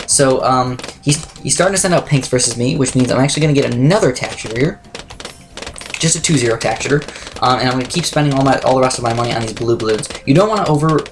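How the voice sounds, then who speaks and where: Fast-talking young man explaining game strategy. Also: medium pitch, noisy background. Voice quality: slightly raspy. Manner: fast, chatty, self-correcting strategy talk. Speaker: gameplay commentator. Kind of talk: let's play commentary.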